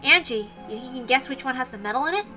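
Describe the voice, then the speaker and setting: A woman's voice: high-pitched, lively intonation, racing, pushed and bright, faint music behind. A woman voicing a teasing, playfully menacing young woman who sets puzzles in a visual novel read-aloud.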